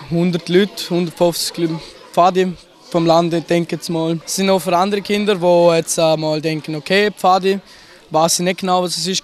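A seventeen-year-old young man with a Liechtenstein German accent, a scout group leader giving a hesitant, loosely phrased TV interview into a handheld mic in an indoor hall. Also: sits high. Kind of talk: TV news interview. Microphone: handheld broadcaster mic.

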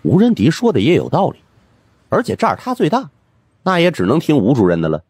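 A man narrating a supernatural mystery audiobook in the first person, in an expressive storytelling style. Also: fairly high pitch, brisk, husky, no echo. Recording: music under the voice.

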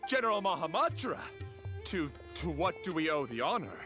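An actor playing a deferential, excitable city guard in a video game, eager to please. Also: male voice, on the high side, normal speed, music in the background. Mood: startled, respectful. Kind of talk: video game character acting.

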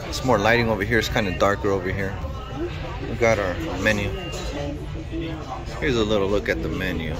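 A man's voice: fairly low pitch, fairly even intonation, brisk, pauses often. A man in his thirties filming a casual food vlog, chatty and upbeat as he narrates, recorded on a handheld camera in a small diner. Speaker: vlogger. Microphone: handheld camera at arm's length. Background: music playing.

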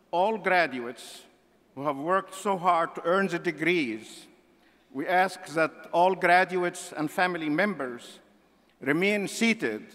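An older man speaks slowly and formally from a podium microphone, his voice a little high for a man. Long reverb from the big indoor arena trails each phrase. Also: a bit bright. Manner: slow, measured, formal delivery. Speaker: university official at commencement. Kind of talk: formal ceremony announcement.

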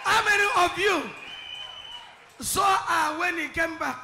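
A man's voice: very high-pitched, takes it slowly, creaky, very noisy. A man preaching and exhorting a congregation on a handheld mic in a large church hall. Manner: exhorting, shouting to the crowd. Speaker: pastor. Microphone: handheld microphone.

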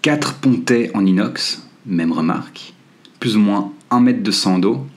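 A man narrating a do-it-yourself building tutorial in a relaxed, friendly voice-over, slipping slang into his step-by-step instructions. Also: fairly low pitch, a little slow. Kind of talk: casual DIY tutorial voice-over. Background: faint music.

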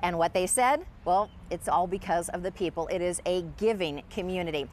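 A woman's voice: lowish voice, moderate pace, darkish tone, a little hiss or hum. A middle-aged woman doing live weather reporting as a TV meteorologist, conversational and explanatory, on a clip-on mic outdoors. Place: outdoors on a sunny street and parking lot. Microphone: clip-on lapel mic.

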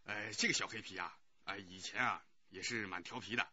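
An actor playing a chatty, eager-to-help neighbourhood committee director in a Chinese radio drama, hesitant and full of interjections. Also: male voice, lowish voice, brisk, pushed and bright, breathy edge, exaggerated voice. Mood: gossipy, explanatory. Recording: dull, muffled radio drama recording.

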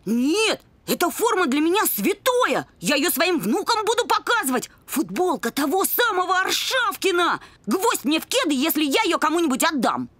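Excitable high-pitched cartoon boy. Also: moderate pace, no room sound, exaggerated voice. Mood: indignant. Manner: whiny, pleading, emphatic. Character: excitable, boastful, pleading young boy, football fan. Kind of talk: animated cartoon voice acting.

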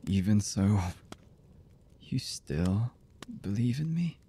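Man acting a low, husky lovesick anime villain. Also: mellow, slight background noise. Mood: haunted, awed. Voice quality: husky, breathy, often sinking into whisper. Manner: slow, halting, hesitant, intimate. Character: brooding, lovesick young male anime villain, lonely and haunted. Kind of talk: ASMR boyfriend roleplay.

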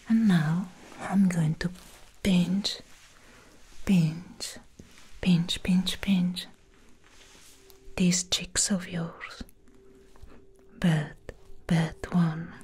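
A young woman playing a commanding, teasing fairy assassin in a whispered ASMR roleplay, slowly giving instructions in a breathy whisper close to the microphone. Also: fairly low pitch, steady tone, darkish tone, exaggerated voice. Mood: teasing, scolding. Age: young adult. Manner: slow, deliberate, instructing.

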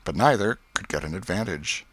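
A man's voice: sits low, lively intonation, brisk, fairly bright tone, poor audio. A man reading literary narration as an audiobook narrator.

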